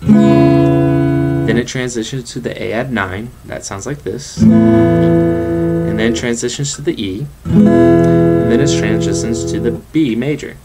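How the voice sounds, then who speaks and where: Man, bass voice, guitar lesson, quick casual explaining, guitar strumming behind. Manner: quick, relaxed explaining with fillers. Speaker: guitar teacher. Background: acoustic guitar.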